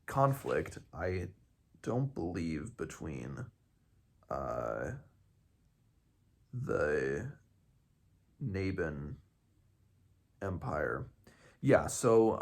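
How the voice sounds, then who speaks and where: Male read-along host, very deep register with heavy vocal fry, casual and halting delivery. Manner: halting, searching for words, self-correcting. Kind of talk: casual book discussion with some read-aloud passages.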